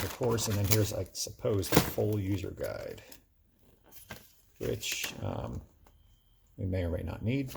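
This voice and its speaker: A man's voice: on the low side, gravelly, noisy background. A man, plain-spoken and conversational, talking through a casual hands-on product review.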